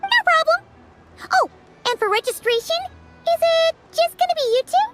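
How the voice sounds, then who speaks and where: A woman playing a tiny, excitable fairy companion in an anime-style video game, chirpy, childlike and full of questions. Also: extremely high pitch, normal speed, soft background music. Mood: inquisitive. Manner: exclamatory, inquisitive, speaks in third person.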